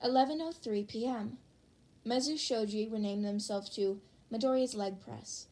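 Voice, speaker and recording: A woman's voice: fairly high pitch, moderate pace, a little mellow. A woman reading a scripted fan fiction group chat aloud as narrator. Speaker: podfic reader.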